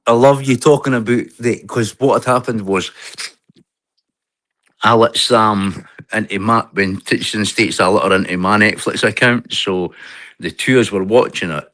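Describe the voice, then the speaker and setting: A man's voice: on the low side, moderate pace, a bit bright, no room sound, rough recording. A man joining a live stream as a guest, joking and swearing casually with stumbling restarts. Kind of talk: casual chat.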